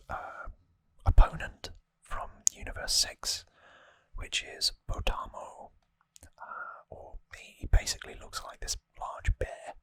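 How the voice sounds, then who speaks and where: Whispering ASMR host, very close and dry. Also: no room sound. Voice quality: breathy unvoiced whisper. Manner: soft, informal explaining. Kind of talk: whispered ASMR episode recap. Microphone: close microphone, dry sound.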